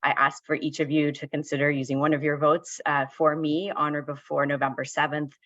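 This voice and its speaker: A woman gives brisk, polished campaign statements in a flat, even tone. Her voice comes through a dull, muffled video-call line with a dry, close sound. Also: deep voice, no room sound. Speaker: county board candidate.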